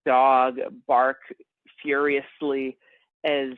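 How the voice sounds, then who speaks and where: A man co-hosting a casual podcast, rambling and animated, calling his friend bro and man. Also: mid-range voice, drawn-out words, no echo. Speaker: podcast co-host. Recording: dry phone-like sound.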